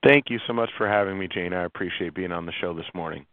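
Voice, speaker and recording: A middle-aged man from central Illinois talks as a radio guest in a relaxed, friendly way, with easy fillers. His voice is on the low side. It comes through a thin phone line with a dry sound. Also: fast talker, no room sound. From central Illinois, USA. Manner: relaxed, friendly, laughs easily, uses fillers. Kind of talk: casual radio interview.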